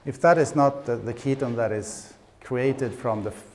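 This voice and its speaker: A man's voice: mid-range voice, moderate pace, darkish tone, slight background noise. A middle-aged man from Sweden with a Swedish accent, giving a conference talk in a hesitant way, with frequent fillers, restarts and dry humor. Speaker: conference speaker. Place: conference stage.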